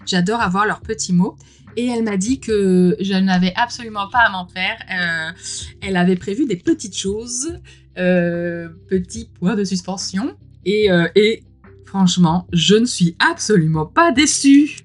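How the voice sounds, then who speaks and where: A woman's voice: mid-range voice, measured pace. Gushing, slightly ill woman with background music. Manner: gushing, informal, exclaims often. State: mildly ill, clearing throat. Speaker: host of a tarot unboxing channel. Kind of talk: casual unboxing commentary.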